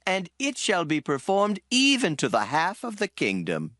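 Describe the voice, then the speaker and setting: A stately ancient king, voiced by a woman, gives orders in a low-set voice with hugely sweeping intonation. The sound is close and dry, straight from the studio. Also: normal speed, no echo. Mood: commanding. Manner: stately, commanding, very animated intonation. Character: commanding ancient king, adult man. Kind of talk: dramatized scripture reading.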